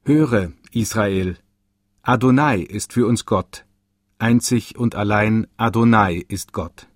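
A man solemnly reading quoted texts in a slow, earnest way. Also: on the low side, no room sound, clean studio sound. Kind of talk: solemn scripted recitation.

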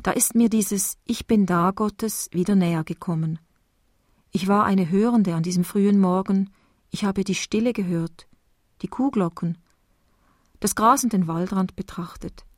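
Swiss lady reading a quiet sermon, measured and thoughtful, super dry recording. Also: mid-range voice, no room sound. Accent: Swiss German. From Switzerland, Basel. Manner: calm, measured, reflective reading. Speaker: preacher giving a spoken devotion. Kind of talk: scripted meditative sermon.

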